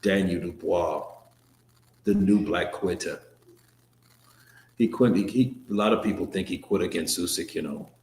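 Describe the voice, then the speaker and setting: A man with a lowish voice hosts a live boxing stream and chats through viewer comments. His talk is loose and joking, with swearing and restarts. The sound carries a roomy echo. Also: a little slow, long pauses, darkish tone, a little hiss or hum.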